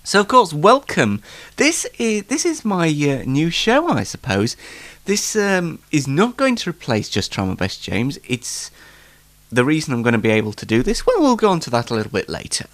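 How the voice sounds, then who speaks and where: A man's voice: on the high side, huge pitch swings, normal speed, fairly bright tone, no echo. A man hosting a casual solo late night talk show, rambling in a jokey, self-deprecating way, slightly stuffed up with hay fever.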